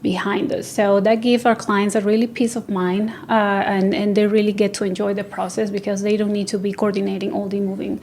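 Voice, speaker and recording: Venezuelan-born woman, design business owner, hesitant studio interviewee, mid-range pitch. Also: level tone, brisk, hardly any pauses, a little mellow, slight background noise. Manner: hesitant, many fillers and restarts. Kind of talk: casual interview answers. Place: radio studio.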